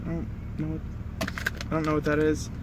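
A man's voice: a little high, completely flat delivery, a little fast. A young man casually vlogging from inside a parked car, rambling and swearing with a laid-back manner, recorded on a dashboard phone. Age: young adult. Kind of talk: casual vlog chat. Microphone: phone camera on the dashboard. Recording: car air conditioning noise behind. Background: air conditioning blowing.

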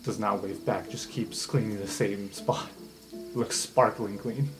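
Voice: sits low, steady tone, a little slow. A game master narrating an improvised tabletop adventure in an informal, conversational way. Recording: music bed under the voice. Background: background music.

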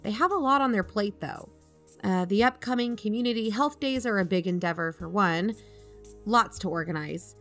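A woman's voice: mid-range voice, moderate pace, fairly bright tone, faint music behind, close to an everyday voice. A woman playing an assured podcast investigator in a conversational audio drama, reasoning and probing persuasively. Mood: reassuring. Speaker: fictional podcast co-host.

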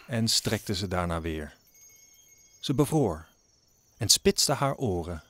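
A man's voice: mid-range voice, no echo. A man narrating an audio drama as a scripted, dramatic story. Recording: music under the narration.

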